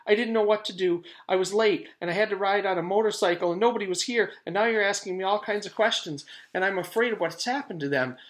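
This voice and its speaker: A man's voice: high-pitched, quick pace, hardly any pauses, voice pushed up, natural voice. A man voicing an anxious, flustered young schoolgirl in an earnest, pleading way for a children's storybook. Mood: upset, pleading. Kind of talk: children's storybook read-aloud.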